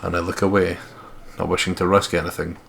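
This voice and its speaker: Low-pitched man on a let's play. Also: level tone, fast talker, creaky, background noise. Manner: sweary, joking. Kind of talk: casual gaming banter, reading game text aloud.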